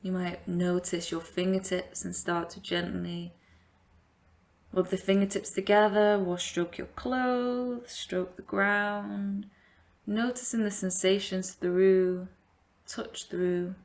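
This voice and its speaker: A woman leading a guided meditation as a yoga teacher, giving gentle, invitational instructions with long pauses. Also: mid-range voice, steady tone, vocal fry.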